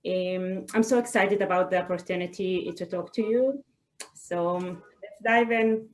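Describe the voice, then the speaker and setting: Woman, thirties, slightly low voice, hesitant webinar speaker. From Israel. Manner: hesitant, halting delivery with frequent pauses. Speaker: guest speaker, doctor. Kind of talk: personal talk in a webinar. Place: online video call.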